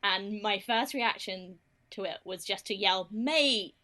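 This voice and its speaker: Englishwoman, accent drifting Canadian, bright tone, wry and chatty on a podcast. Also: medium pitch, slow pace. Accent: English, softened by Canadian. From England. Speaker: podcast co-host. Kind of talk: casual podcast chat.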